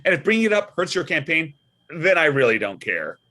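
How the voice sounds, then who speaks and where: A man's voice: on the high side, sing-song, brisk, bright, forceful tone, exaggerated voice. A man playing a blunt, stubborn, unbothered father of a political candidate in a comedic table read. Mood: unbothered, needling.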